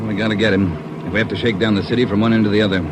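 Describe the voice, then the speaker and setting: An American man acting a determined chief of detectives in a 1940s radio drama, gruff, clipped and urgent. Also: on the low side, level tone, very fast, natural voice. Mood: grim urgency. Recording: noisy old radio recording, vehicle sounds.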